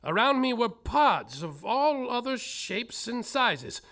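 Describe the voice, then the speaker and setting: An actor playing and narrating a bumbling small-town detective in a live comedy musical on stage. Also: male voice, very high voice, big pitch swings, slow, sharp tone, exaggerated voice. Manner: theatrical, over-the-top, comic narration.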